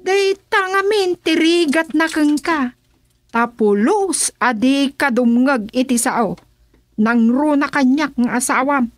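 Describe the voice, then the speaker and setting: A woman's voice: sits high, slow pace, dry recording, studio quality. A woman with an Ilocano accent playing a nagging, scolding wife in a radio drama.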